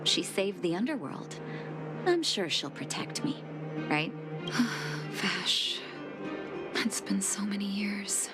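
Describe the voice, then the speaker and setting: A resolute woman leader, measured, very slow, soft sighs, game music behind. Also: medium pitch, animated. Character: composed young woman doctor and leader, determined. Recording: studio voice-over with game music.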